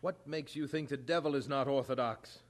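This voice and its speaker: An actor playing an earnest, stern evangelist chaplain in an audio drama, speaking in a grave, rhetorical way. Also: male voice, medium pitch, moderate pace, natural voice. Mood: indignant, forceful.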